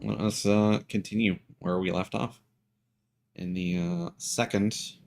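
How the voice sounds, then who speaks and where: Male game streamer, lowish voice, very unhurried and halting casual chat, music underneath. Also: big pitch swings, vocal fry. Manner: halting, thinking aloud. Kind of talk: casual live-stream chat while gaming.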